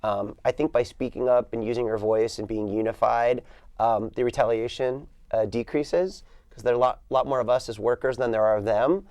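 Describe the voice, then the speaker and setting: A man speaks as a union organizer in a steady, confident way, turning his answers into rallying phrases. His voice is mellow and darker in tone. The studio sound is close and dry. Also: mid-range voice, moderate pace, no room sound. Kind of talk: interview answers.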